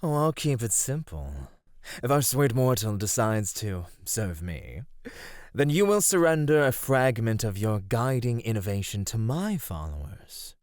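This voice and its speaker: A man's voice: mid-range voice, big pitch swings, measured pace, darkish tone, no echo, clean studio sound. A man voicing a sly, flirty immortal god of beauty in an ASMR roleplay, teasing and coaxing without hurry.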